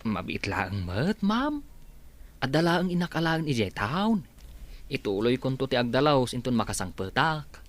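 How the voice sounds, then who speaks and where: A male actor as a deferential servant in a radio comedy-drama, talking in a medium-pitched voice that moves up and down a lot. He is persuasive and kindly, with a scheming streak. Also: sing-song, unhurried. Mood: meek, pleading.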